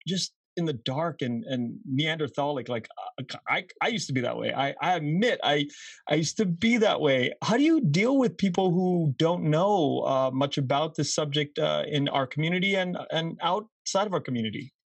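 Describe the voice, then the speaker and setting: A man's voice: a little high, lively intonation, moderate pace, creaky, no room sound. Rambling man host, dry close sound. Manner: rambling, self-deprecating questions. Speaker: podcast host. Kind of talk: casual interview conversation.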